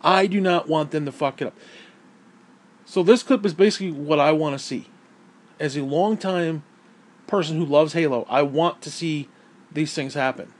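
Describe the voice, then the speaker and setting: Male gamer, raised pitch, hoarse and fading voice, profane heated rant. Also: normal speed, long pauses. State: hoarse, losing his voice. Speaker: gaming vlogger. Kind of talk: angry opinion rant.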